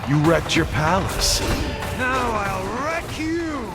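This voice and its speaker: An actor playing a menacing, taunting video game villain with theatrical delivery. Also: male voice, sits high, very animated, slow, music in the background, heavily acted. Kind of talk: dramatic video game acting.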